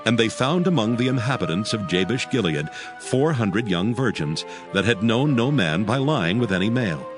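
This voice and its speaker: A man's voice: lowish voice, lively intonation, normal speed, few pauses. A man narrating scripture in a solemn, scripted style for a dramatized audio Bible. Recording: music bed behind the voice. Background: background music.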